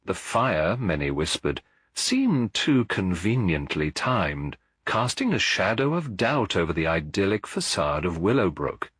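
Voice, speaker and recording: Male narrator for mystery audiobook: low, slightly husky, measured storytelling. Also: big pitch swings, fairly bright tone, dry recording.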